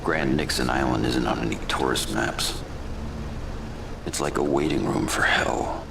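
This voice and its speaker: An actor playing a grim, laconic vigilante antihero in a hard-boiled video game, terse and deadpan. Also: male voice, extremely low pitch, measured pace, vocal fry, noisy background, exaggerated voice.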